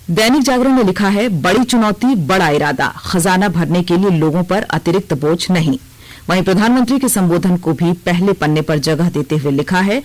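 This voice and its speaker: A woman with an Indian accent reads a scripted radio news bulletin in a formal, measured way. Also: fairly low pitch, poor audio. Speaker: radio newsreader.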